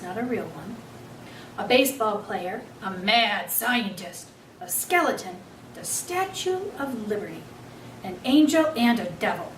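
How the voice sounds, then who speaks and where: A woman reading a children's book aloud as an expressive storyteller. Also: mid-range voice, recorded from a distance. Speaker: story reader. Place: echoey room, away from the mic. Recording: roomy, off-mic, faint background noise.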